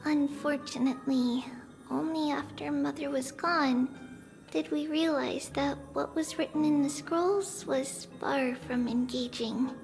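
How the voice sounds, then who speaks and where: A woman playing a small, gentle, childlike demigod girl in a video game, speaking in a reflective, unhurried way as if older than she looks. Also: high-pitched, steady tone, creaky. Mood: wistful. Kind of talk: scripted video game character acting. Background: background music.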